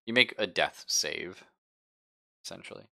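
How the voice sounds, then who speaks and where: A man acting as game master in a tabletop roleplaying game, describing things conversationally and haltingly as he thinks aloud. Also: low-pitched, creaky, bright, forceful tone. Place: live-streamed game session.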